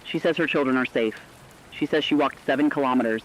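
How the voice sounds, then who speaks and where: A man's voice: medium pitch, fast talker, fairly bright tone, slight background noise, close to an everyday voice. A man playing the earnest, solemn host of a last surviving radio station, telling the story as scripted dramatic broadcast narration. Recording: slightly dull lo-fi radio sound.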